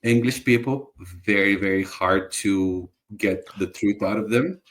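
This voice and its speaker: A man's voice: fairly low pitch, a little slow, fairly bright tone. A man from Bulgaria, a guest entrepreneur, talking in a reflective and earnest way, with frequent restarts. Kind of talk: casual conversational interview.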